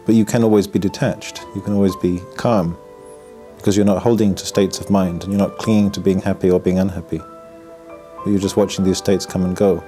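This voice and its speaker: Reflective man, low voice. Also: fairly even intonation, warm tone. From north of England. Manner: reflective, halting, with frequent restarts and wry humor. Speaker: Buddhist monk. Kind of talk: documentary interview. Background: background music.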